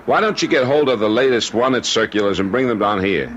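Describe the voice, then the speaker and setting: Man acting an earnest cop, lively pitch. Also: brisk, natural voice. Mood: concerned. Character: earnest veteran beat patrolman. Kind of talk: old-time radio drama acting. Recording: dull old radio recording with music.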